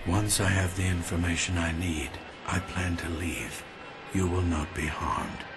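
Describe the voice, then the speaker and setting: A man playing a cold, controlled villain in a video game, speaking in measured, formal, menacing phrases. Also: low voice. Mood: cold menace. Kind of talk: video game cutscene acting. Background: prominent music.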